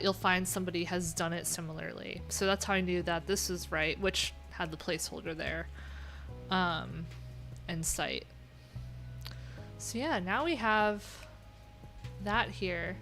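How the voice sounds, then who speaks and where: Woman streamer chatting thru tech stuff, slow pace, bright voice, informal n trails off, faint music. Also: medium pitch. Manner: informal, halting, trailing off mid-thought. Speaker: livestream host, web developer. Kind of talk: casual unscripted tech livestream chat, thinking aloud.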